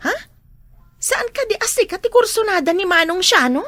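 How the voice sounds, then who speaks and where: A mom in a radio drama, high pitched, bright, close dry sound. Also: female voice, expressive, normal speed, dry recording. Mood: probing. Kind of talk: Ilocano radio drama acting.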